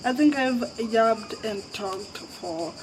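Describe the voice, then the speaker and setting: This is a twenty-year-old man from Zimbabwe talking to his vlog audience in a high, light voice. He rambles candidly, leaning on fillers, and takes his time over each thought. Faint crickets and insects chirp in the background. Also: animated, slow, noisy background. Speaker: vlogger. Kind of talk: casual reflective chat to camera.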